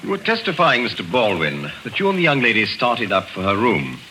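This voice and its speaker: An actor playing a skeptical courtroom prosecutor questioning a witness in a 1940s radio drama. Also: male voice, lowish voice, big pitch swings, fairly quick, over music. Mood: probing. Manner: probing, skeptical. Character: dry courtroom prosecutor, middle-aged man. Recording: noisy old radio broadcast.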